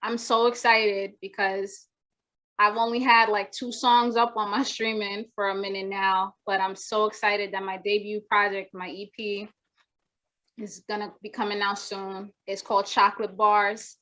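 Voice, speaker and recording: A young woman guest rapper with a naturally soft voice, talking casually on a video call, rambling with many restarts and fillers. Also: medium pitch, level tone, measured pace. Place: home room with wooden shelves. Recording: dull lo-fi video call audio.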